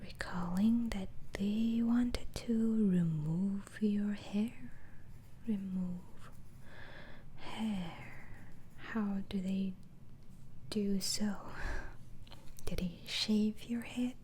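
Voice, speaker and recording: A woman whispering softly and breathily in an ASMR role-play, gently asking halting questions as an interviewing doctor. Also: mid-range voice, fairly even intonation, a little hiss or hum.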